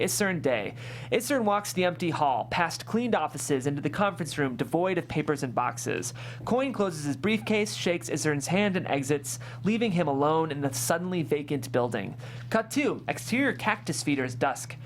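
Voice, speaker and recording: A woman hosting and narrating, speaking in a clear presenter's way as she reads or introduces. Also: deep voice, lively intonation, moderate pace, slight background noise. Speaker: host and stage-directions narrator. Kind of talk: scripted narration and interview hosting.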